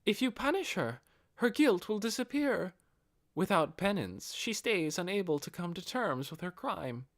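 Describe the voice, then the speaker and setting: A man's voice: sits high, big pitch swings, a little slow, a bit bright, pitched above the performer's own voice, full character voice. A man voicing a devoted, earnest female guardian captain in an audiobook, with polite, deferential phrasing. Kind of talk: audiobook character acting.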